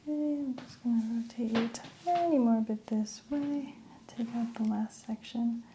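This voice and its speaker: Whispery asmr lady doing hair salon roleplay, breathy and gentle, lightish pitch, bit of hiss. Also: normal speed. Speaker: ASMR roleplay creator playing a hairstylist. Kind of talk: soft whispered ASMR roleplay, narrating each step.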